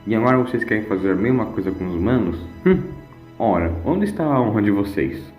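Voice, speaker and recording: A man's voice: fairly low pitch, lively intonation, fairly quick, highs cut off, lightly acted. A man voicing a wise, moral grandfatherly time-traveller in an audio story, speaking emphatically and persuasively. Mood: indignant. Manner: emphatic, coaxing, with questioning hums. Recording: echoing voice over music.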